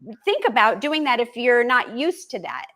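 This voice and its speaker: A woman's voice: a little high, very animated, normal speed, bright, forceful tone. A woman hosting a live interview, encouraging and playful with short affirmations. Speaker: host.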